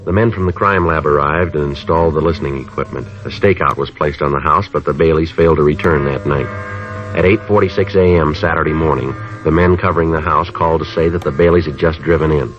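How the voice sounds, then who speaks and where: A businesslike detective from an old radio police show. His voice is very low and brisk, terse and matter-of-fact, with the dull sound of a vintage broadcast. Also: steady tone, faint music behind. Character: calm, businesslike male police detective narrating a case. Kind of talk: 1950s radio police drama acting and narration.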